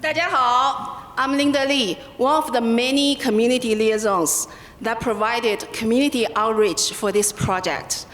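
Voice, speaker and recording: A woman's voice: fairly high pitch, unhurried, fairly bright tone. A woman whose first language is Mandarin, working as a community liaison and giving a careful, prepared speech. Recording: roomy hall reverb, faint noise.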